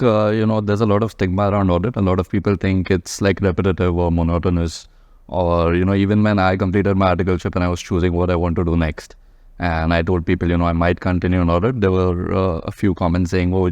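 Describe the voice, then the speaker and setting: A man with an Indian accent speaks as a guest in a casual panel interview. His voice is very low and fairly flat, captured in a slightly dull recording. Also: fairly quick, clear, resonant tone, no echo. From Mumbai, India. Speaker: guest, practicing chartered accountant.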